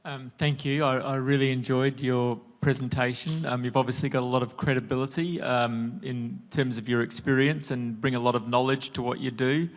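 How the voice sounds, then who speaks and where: Male judge, feedback on a pitch, measured but halting, mid-pitched. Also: steady tone. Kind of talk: panel feedback.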